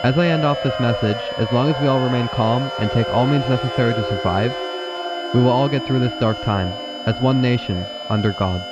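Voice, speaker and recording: A man playing a solemn president giving a formal, measured emergency address to the nation in a radio drama. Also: mid-range voice, over loud music, natural voice. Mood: solemn reassurance. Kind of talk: scripted emergency broadcast address. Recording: dull lo-fi broadcast, loud noise.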